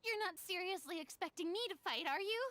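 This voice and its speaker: A woman's voice: very high-pitched, animated, quick pace, sharp tone, no room sound, exaggerated voice. A woman voicing a theatrical, vain young goddess in a video game, speaking in a flighty, self-dramatizing way. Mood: flustered.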